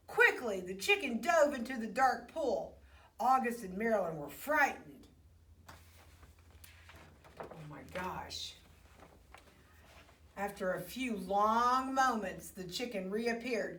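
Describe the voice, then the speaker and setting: Casting: female children's storyteller, expressive and unhurried, picture-book read-aloud, echoey room, off the mic. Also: a little high. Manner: expressive, with hushed asides to the young listeners. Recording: roomy, echoey, distant microphone.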